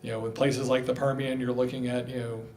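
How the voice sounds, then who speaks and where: Presenter at a public workshop, male, filler-heavy and halting delivery. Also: mid-range voice, little pitch movement, a little mellow. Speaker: utility commission presenter. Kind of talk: public meeting Q&A answer. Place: public meeting hall.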